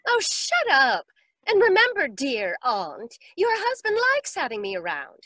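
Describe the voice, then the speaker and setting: A woman's voice: high-pitched, wildly swooping pitch, measured pace, pushed and bright, no room sound, studio quality, full character voice. A woman playing a cool, sly young niece in 1940s radio drama, taunting with sugary sarcasm.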